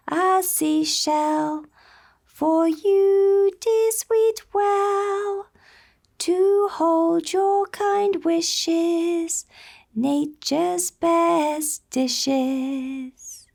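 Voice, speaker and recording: A sweet, affectionate little granddaughter from a children's puppet story, acted by a woman. The voice is childlike and raised clearly higher than an adult's talk. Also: fairly even intonation, extremely slow, darkish tone, exaggerated voice. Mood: tender singing.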